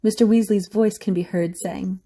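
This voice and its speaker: A woman's voice: mid-range voice, fairly even intonation, moderate pace, dark tone, slightly rough. A woman reading scripted story narration for an audio drama.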